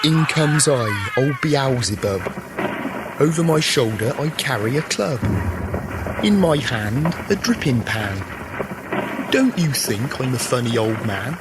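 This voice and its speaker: A man's voice: medium pitch, animated, takes it slowly. A rustic soldier declaims old folk rhymes. He is wry and haunted, in the manner of radio drama. Heavy noise sits all around the voice. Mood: droll declaiming. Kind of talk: radio play acting, mummers' verse recitation. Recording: noisy radio drama recording.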